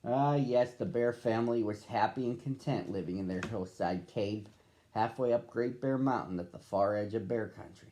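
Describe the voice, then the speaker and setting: A man reading a picture book aloud to children as a storyteller, with expressive phrasing and drawn-out words. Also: on the low side. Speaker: storybook reader.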